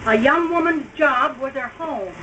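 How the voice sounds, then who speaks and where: High-voiced, opinionated older mother-in-law. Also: normal speed, sharp tone, distant mic, highs cut off, slight background noise. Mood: bossy, disapproving. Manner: bossy, self-righteous. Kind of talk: 1930s-style radio drama acting.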